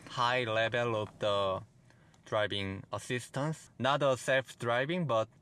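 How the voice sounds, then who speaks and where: A man with a Korean accent, whose first language is Korean, gives a car review walkthrough in plain, halting phrases. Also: mid-range voice, steady tone, fairly bright tone, smooth. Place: in and around a car.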